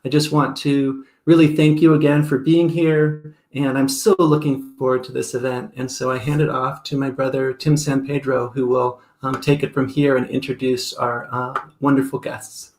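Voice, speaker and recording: A man's voice: mid-range voice, flat delivery, moderate pace, few pauses, darkish tone, reverberant. A man hosting an online book launch, speaking earnestly and gratefully with frequent ums and uhs over a video call. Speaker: event host. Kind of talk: opening remarks on a video call.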